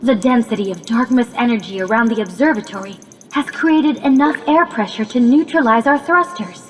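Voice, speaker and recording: A woman playing a calm, analytical robot AI companion in a video game, speaking in formal, precise, measured phrases with earnest encouragement. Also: on the high side, big-room sound, a little hiss or hum, lightly acted. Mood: grave, informative. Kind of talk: scripted video game cutscene acting.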